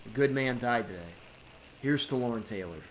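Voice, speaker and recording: An actor playing a cool-headed speakeasy owner and secret vigilante, terse and dry, in an old-time radio drama. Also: male voice, mid-range voice, a little fast, heavy background noise, lightly acted. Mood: casual, guarded.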